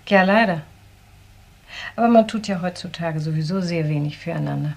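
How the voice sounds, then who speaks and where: A woman's voice: fairly low pitch, moderate pace, gravelly, darkish tone. An actress playing a kindly, forthright middle-aged married woman in a crime radio drama, courteous and direct. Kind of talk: naturalistic German crime radio drama acting.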